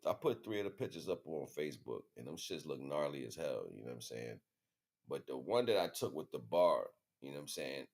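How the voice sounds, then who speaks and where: Male, American, low voice; loose, slangy streamer talking off the cuff, circling back on phrases. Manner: slangy, repetitive with frequent check-in phrases. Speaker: livestream host. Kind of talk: casual rambling monologue to viewers.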